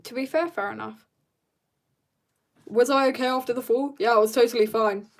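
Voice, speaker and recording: A young woman with a fairly high voice chats informally to viewers while she draws. Her talk is rambling and slangy, full of asides and giggly in manner. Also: fairly even intonation, moderate pace. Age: teenager or young adult. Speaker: streamer drawing and chatting. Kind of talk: casual livestream chat.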